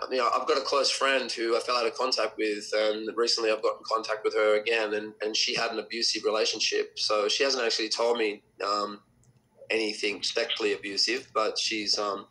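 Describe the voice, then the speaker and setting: Hesitant man with a bright voice. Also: on the low side, low-quality recording. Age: 40s. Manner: hesitant, many ums and restarts. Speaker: client of a reading. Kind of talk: casual conversation.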